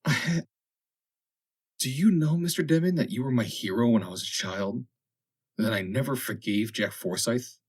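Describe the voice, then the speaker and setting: A man reading an audiobook, voicing an injured young woman who is gentle, earnest and calm. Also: mid-range voice, moderate pace, pauses often, breathy edge, voice pushed up, natural voice. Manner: soft, earnest. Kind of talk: dramatic audiobook character voice.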